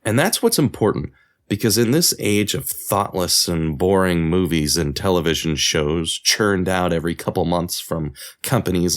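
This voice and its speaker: A man hosting a podcast, reading scripted narration as a creator of audio drama. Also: low voice.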